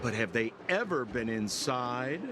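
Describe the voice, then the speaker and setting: An actor playing a smooth-talking young trickster guide in a video game, playful and showy. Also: male voice, medium pitch, animated, a little slow, noisy background, natural voice. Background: game music.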